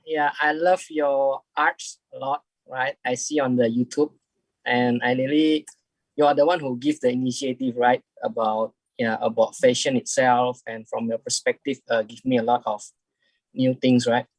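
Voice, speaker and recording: A man's voice: medium pitch, fairly even intonation, no echo, poor audio. Hesitant man on a video call. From Indonesia. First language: Indonesian. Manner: halting, many restarts, keeps adding "right?". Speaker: audience member asking the guest questions. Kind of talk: casual question in an online talk.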